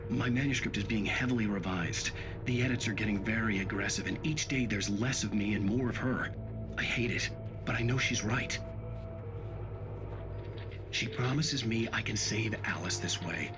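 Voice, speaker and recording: Actor as a brooding writer narrating over music. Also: male voice, on the low side, normal speed, close to an everyday voice. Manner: brooding, serious. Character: troubled male writer narrating his grim ordeal. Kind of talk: scripted first-person thriller narration.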